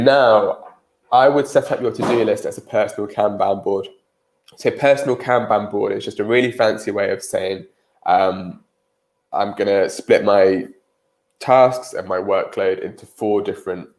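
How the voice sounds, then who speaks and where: A man's voice: fairly low pitch, darkish tone. A British man with a cold and a nasal voice, giving a live-streamed career webinar presentation with frequent ums.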